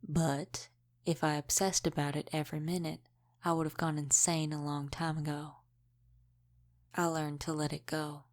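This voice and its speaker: Low, even-voiced world-weary vampire mentor played by a woman. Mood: guarded, matter-of-fact. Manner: unhurried, wry, folksy dropped g's. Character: centuries-old female vampire, world-weary, gruffly protective mentor. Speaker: audio roleplay performer. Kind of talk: intimate audio roleplay acting.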